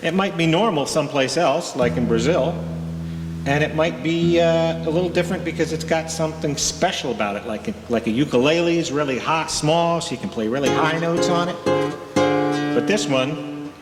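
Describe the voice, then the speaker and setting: A man's voice: fairly high pitch, normal speed. A middle-aged man, a church musician, casually explaining things to children. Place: large church sanctuary, seated indoors. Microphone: stand microphone. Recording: echoey church hall, guitar behind. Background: acoustic guitar.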